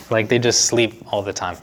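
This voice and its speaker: A young man from the United States chatting casually in an interview, relaxed and often laughing as he talks. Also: fairly low pitch, low-quality recording. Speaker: interviewee, university student.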